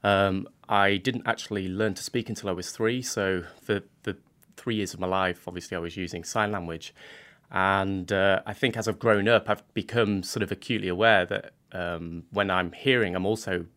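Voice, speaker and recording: A man speaking as a guest on a radio interview, earnest and halting, often restarting his sentences as he explains himself. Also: low-pitched, fairly even intonation, a bit bright. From England. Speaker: guest, art student.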